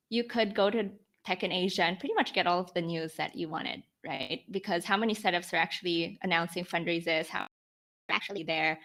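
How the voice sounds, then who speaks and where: A woman's voice: on the low side, pushed and bright. A woman of about twenty from the Philippines, explaining her thinking conversationally as a startup founder in a podcast interview. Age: around 20. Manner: explanatory, talks through rhetorical questions and examples.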